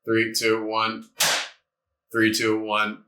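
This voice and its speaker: A man's voice: on the low side, monotone, extremely slow, reverberant, heavy background noise. A man in his late twenties hosting a casual podcast chat, joking and teasing his guest with casual swearing. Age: 20s. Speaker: podcast host.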